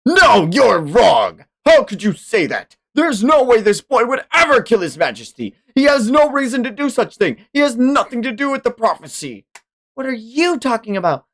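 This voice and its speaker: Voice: mid-range voice, very animated, normal speed, a bit bright, voice pushed up. A streamer voicing a distraught, loyal manga defender who protests passionately for an innocent child. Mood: indignant, desperate. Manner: impassioned, protesting.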